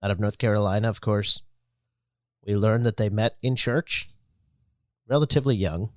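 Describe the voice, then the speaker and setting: Measured man narrating a podcast. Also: fairly low pitch, no room sound, studio quality. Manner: measured, earnest storytelling delivery. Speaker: podcast host. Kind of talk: scripted public-radio-style narration.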